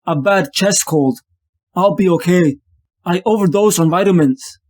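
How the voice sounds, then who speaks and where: A man's voice: sits high, measured pace, a little mellow. A man playing a tormented, ailing vigilante losing his sanity, narrating his story as a brooding, confessional monologue. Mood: brooding, troubled. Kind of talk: dramatic first-person comic narration.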